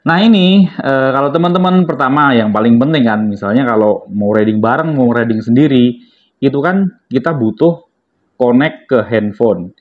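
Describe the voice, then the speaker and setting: A man's voice: mid-range voice, normal speed. A man speaks in a dull, lo-fi recording, as in a homemade review video. He talks informally to his viewers, explaining things step by step in a casual unboxing style. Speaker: product reviewer, vlogger. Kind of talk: casual unboxing and product demonstration.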